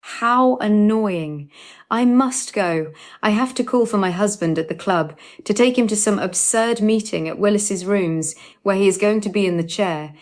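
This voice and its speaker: A woman's voice: mid-range voice, a little slow, close to an everyday voice. A woman playing an airy, playfully scandalised aristocratic Duchess in an audiobook, with gushing, mannered speech. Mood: playfully dramatic. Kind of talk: audiobook character dialogue.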